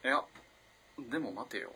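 Man acting a bright, lively, curious student. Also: mid-range voice, normal speed, husky, exaggerated voice. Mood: musing, eager. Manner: polite, inquisitive. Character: curious, polite young male student. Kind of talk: radio drama acting.

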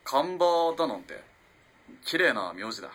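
Radio drama student, male, played by a man: eager and courteous, lively swoops in pitch, slightly rough husky tone. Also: a little slow, heavily acted. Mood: friendly, eager. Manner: polite, eager, hesitant. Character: young male student, polite, earnest, easily flustered. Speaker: actor in amateur radio drama. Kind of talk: Japanese radio drama acting.

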